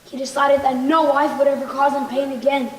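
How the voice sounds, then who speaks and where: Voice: high voice, level tone, a little fast, natural voice. A young teenager acting a sorrowful vizier and father in a school stage play. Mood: grave, sorrowful. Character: anguished father, the Sultan's vizier, pleading and sorrowful. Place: school stage in a large hall. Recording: echoey hall, distant mic, noisy.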